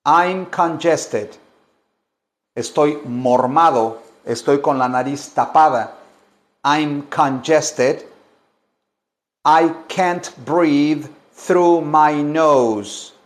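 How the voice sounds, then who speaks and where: Slow, patient man drilling vocabulary. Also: a little high. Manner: slow, deliberate drilling with many repetitions. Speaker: English teacher. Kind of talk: bilingual language lesson.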